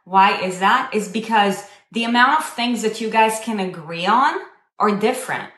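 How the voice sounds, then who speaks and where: A woman's voice: mid-range voice, normal speed, fairly bright tone. A young woman from Israel speaking casually and emphatically to a group, posing questions to her listeners in a conversational way. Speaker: speaker leading a community discussion. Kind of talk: casual motivational talk to a group. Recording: echoey room.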